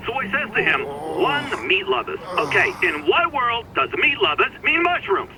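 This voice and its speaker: An actor playing a chatty, colloquial man griping in a video game, with an animated manner. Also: very high voice, normal speed, crowd noise, exaggerated voice, low-quality recording. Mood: indignant. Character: chatty man griping about a pizza order.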